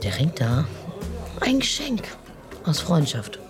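An actress playing a brash, streetwise woman who laughs and answers a detective with quick, mocking retorts in a radio drama. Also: sits low, very animated, over music, heavily acted. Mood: sassy.

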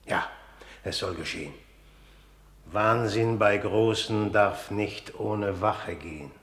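An actor playing a grave, suspicious, calculating king in a classical radio tragedy, measured and brooding. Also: lowish voice, fairly bright tone. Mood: brooding, resolute. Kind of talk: classical stage tragedy in German radio drama.

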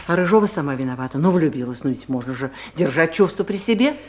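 A woman's voice: sits low, animated, quick pace, slight background noise. Gossipy office busybody woman. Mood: indignant gossip. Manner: chatty, indiscreet, insistent. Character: busybody gossiping female office committee activist. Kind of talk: Soviet radio comedy acting.

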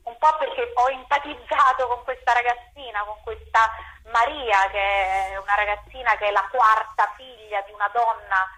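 A woman's voice: a little high, steady tone, normal speed, bright, forceful tone, poor audio. A woman theatre director answering interview questions, repeating words as she searches for phrasing.

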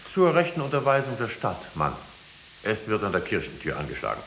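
A stern, commanding judge with a low voice that swings widely as he presses his case. He is solemn and insistent, in an echoey, faintly hissy old radio play. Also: wildly swooping pitch, fairly quick, a bit bright, full character voice. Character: stern, pressing male judge extracting a confession. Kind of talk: 1950s German radio drama acting.

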